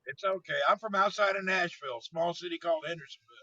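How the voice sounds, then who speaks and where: Bright, husky Tennessee host. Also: male voice, high-pitched, quick pace. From Tennessee, USA. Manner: upbeat, greets viewers by name. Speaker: live-stream show host. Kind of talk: casual live-stream hosting. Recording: dull lo-fi stream audio.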